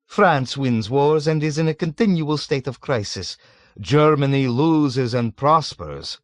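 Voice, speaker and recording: A man voicing a cynical, suave 107-year-old man who mocks with relish, pitched above his own voice. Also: no echo, clean studio sound, lightly acted. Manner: suave, mocking, scoffing.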